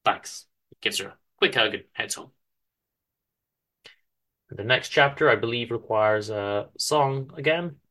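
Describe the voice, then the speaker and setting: A man narrating a story dramatically, with deliberate theatrical pauses. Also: medium pitch, pushed and bright.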